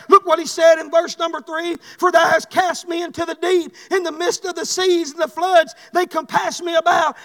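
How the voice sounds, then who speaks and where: Echoey hall, very high-pitched male voice, Southern US accent, fervent preacher, folksy exhortation. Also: fairly bright tone. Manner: exhortatory, folksy, repeatedly punctuating phrases with "hey". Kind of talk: fervent evangelical sermon to a congregation. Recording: echoey hall with long reverb.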